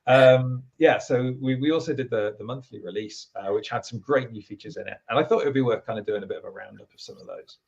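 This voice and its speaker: A man with a British accent, a guest from a product team, explaining at length in a relaxed, rambling way with lots of fillers. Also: mid-range voice, fast talker, gravelly, hardly any pauses, lo-fi sound. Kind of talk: casual interview talk.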